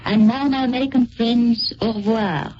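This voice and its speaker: A muffled, lightly noisy 1940s radio recording carries a woman with a French accent. Her voice is on the high side. She reads a prepared address in a solemn, earnest way. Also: fairly even intonation, normal speed, darkish tone. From France. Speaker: Free French army volunteer, guest speaker. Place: radio studio.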